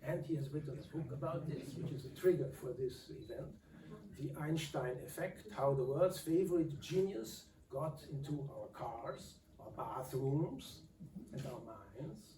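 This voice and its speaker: An older man, moderating a public talk in a lecture hall in a halting, pausing way. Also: mid-range voice, animated, a crowd in the background. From Austria. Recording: echoey hall from far off.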